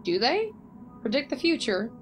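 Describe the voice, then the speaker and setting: A woman's voice: high voice, very animated, moderate pace, faint music behind. A woman playing a cool, matter-of-fact yet curious noblewoman in an audio drama.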